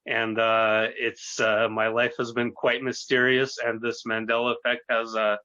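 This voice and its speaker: A man of thirty-two, a native Russian speaker who grew up in the United States, talks as an interview guest. His voice is bright and forceful, at a medium pitch. He gives a halting, earnest personal account on a dull, lo-fi recording. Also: level tone. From born in Russia, grew up in the United States.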